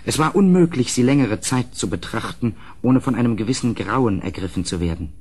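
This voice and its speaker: An actor playing an uneasy gentleman who narrates a gothic radio play in a measured, ominous, slightly husky voice. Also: mid-range voice, lively intonation. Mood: somber, ominous.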